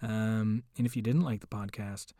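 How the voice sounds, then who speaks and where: A man's voice: fairly low pitch, fairly even intonation, normal speed, warm tone, no room sound, studio quality. A man hosting a podcast, wrapping up an episode with enthusiastic, joking praise and a run of social media plugs. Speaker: podcast host. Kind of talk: podcast outro with promotions.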